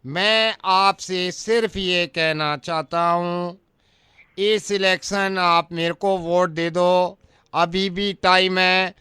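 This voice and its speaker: Man putting on a slow, loud, begging politician. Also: high-pitched, fairly even intonation, clean tone, dry recording, clean studio sound, full character voice. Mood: servile pleading. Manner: slow, drawn-out, servile oratory. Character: pleading, flattering male politician begging for votes, turning rude.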